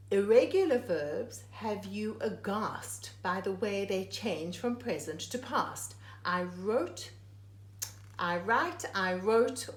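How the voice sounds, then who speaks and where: Slow, expressive woman reading aloud, echoey room. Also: medium pitch. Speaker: teacher reading a picture book aloud. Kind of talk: read-aloud of a rhyming children's book.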